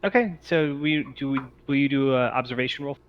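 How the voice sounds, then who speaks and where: A man acts as game master for a tabletop roleplaying session. His voice sits at a middle pitch and he talks casually to the players. The audio is a little dull, like an online voice call. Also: brisk, a little hiss or hum.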